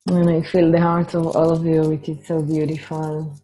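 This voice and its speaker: A woman with an Israeli accent speaking gently and informally as she leads a spiritual healing webinar. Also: sits low, completely flat delivery, normal speed, rough recording. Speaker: spiritual healer hosting a webinar. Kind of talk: guided meditation and informal webinar talk. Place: online webinar from a computer.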